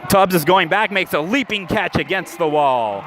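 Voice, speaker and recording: A man's voice: high-pitched, expressive, moderate pace, a bit bright, some chatter behind, a little hiss or hum. A man working as a radio baseball announcer, calling the game live in clipped phrases as the action happens. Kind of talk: live baseball play-by-play commentary. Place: live at an outdoor ballpark.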